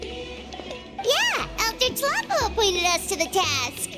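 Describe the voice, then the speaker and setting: A woman voicing a small, chirpy, childlike fairy companion in a video game, with a squeaky voice. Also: huge pitch swings, slow, heavily acted. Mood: cheerful, curious. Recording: loud music under the voice.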